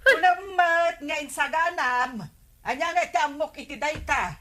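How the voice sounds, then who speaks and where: A woman's voice: sits high, animated, a little slow, bright, forceful tone, heavily acted. A woman with an Ilocano Filipino accent playing a scolding, unimpressed woman of the household in a radio comedy. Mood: nagging. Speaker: comedy drama actor.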